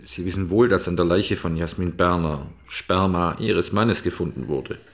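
An actor playing a sceptical private detective, polite but probing, in a German radio drama. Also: male voice, low voice, moderate pace.

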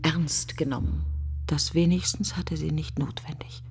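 An actress playing a grieving woman in a literary radio monologue, speaking in hushed, halting fragments. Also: deep voice, fairly even intonation, whispered, music in the background. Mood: hushed, bitter. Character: grieving woman brooding on her dead best friend.

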